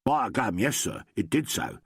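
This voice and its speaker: A man voicing a gruff, rough-spoken country constable who talks in broken, slangy grammar. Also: medium pitch, expressive, normal speed, dry recording, clean studio sound. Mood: aggrieved. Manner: rough ungrammatical slangy speech, morose.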